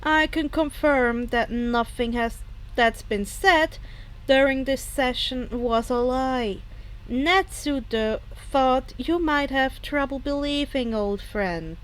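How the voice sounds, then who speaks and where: A woman voicing a steady male detective in a story, matter-of-fact and measured. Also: sits high, rough recording. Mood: calm, factual.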